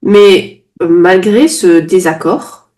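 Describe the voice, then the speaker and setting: A woman's voice: lowish voice, darkish tone. A woman giving consecutive interpretation of a sermon into French, restating each phrase promptly and sometimes correcting herself mid-sentence. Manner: quick restating, occasional self-corrections.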